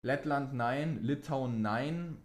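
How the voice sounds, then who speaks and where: A man's voice: medium pitch, slow pace. A man co-hosting a podcast in casual chat, dropping English words and phrases into his speech. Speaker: podcast co-host. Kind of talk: casual chat about motor racing.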